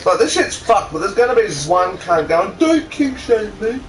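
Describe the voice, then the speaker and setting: A man's voice: sits high, very animated, moderate pace, recorded from a distance. A man bantering casually and crudely with friends in a joking reading group. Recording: echoey room, faint noise.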